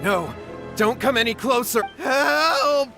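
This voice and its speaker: A man's voice: very high voice, animated, slow, creaky, music in the background, full character voice. A man playing a nervous, cowardly young man in a video game, hesitant, flustered and pleading. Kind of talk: video game character acting.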